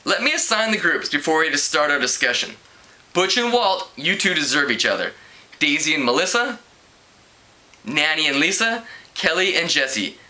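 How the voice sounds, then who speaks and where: A man's voice: on the high side, normal speed, heavily acted. A man voicing an upbeat, encouraging woman teacher as he reads a storybook aloud. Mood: brisk, patient. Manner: enthusiastic, encouraging, calm. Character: upbeat, chirpy woman home economics teacher.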